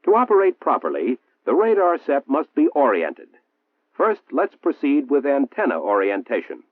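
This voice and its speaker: An American man reading a 1960s military training film narration in a formal, measured, precisely enunciated manner. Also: mid-range voice, wildly swooping pitch. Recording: muffled, roomy 1960s film soundtrack, phone-like.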